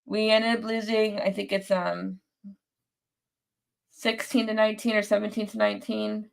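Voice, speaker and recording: A young woman hosts a live post-game fan stream while fighting off a cold. Her voice is nasal and stuffed up, with a gravelly fry at the bottom of her phrases. She rambles casually, full of ums and restarts, at an ordinary middle pitch. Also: level tone, normal speed. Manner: rambling, many ums and restarts, reads viewer comments. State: sick, congested. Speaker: podcast host.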